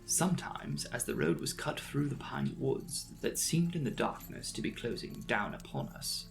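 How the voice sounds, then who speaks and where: A man reads a classic gothic novel as a theatrical storyteller. His mid-pitched voice swings very widely in melody and often drops into a creaky, gravelly fry. Music plays softly behind the narration. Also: huge pitch swings, normal speed. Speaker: audiobook narrator. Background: background music.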